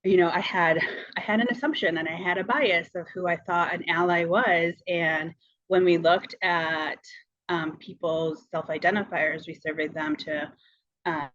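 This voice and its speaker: A woman from Guatemala presents a community project in an informal, thoughtful way. Her voice sits on the low side. She often pauses and rephrases as she goes, and the sound is slightly dull. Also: steady tone, fairly bright tone, no echo. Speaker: nonprofit co-director presenting a project.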